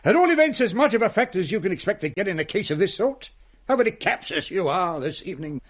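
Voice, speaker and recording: A man's voice: high-pitched, very animated, quick pace. A man playing a pompous, testy middle-aged Victorian English uncle in a radio drama, wordy and easily exasperated. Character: pompous, testy Victorian uncle hosting Christmas ghost stories. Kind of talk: period radio drama acting.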